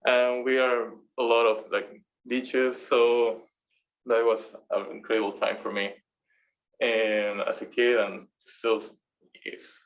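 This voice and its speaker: Man, halting class talk. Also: mid-range voice, little pitch movement, poor audio. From Venezuela. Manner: halting, searching for words. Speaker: master's student presenter. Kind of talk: class presentation.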